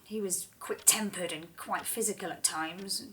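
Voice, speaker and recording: A woman playing a frightened young woman who haltingly confesses in an audio drama. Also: on the low side, pushed and bright. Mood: ashamed, fearful. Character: frightened young woman, former stable worker, confessing abuse.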